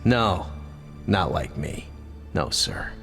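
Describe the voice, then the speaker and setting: American army officer, gravelly, animated, indignant, clipped confrontational delivery, game cutscene, music behind. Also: male voice, low-pitched, takes it slowly, full character voice. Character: gruff, indignant American army lieutenant who obeys orders. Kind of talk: video game cutscene acting.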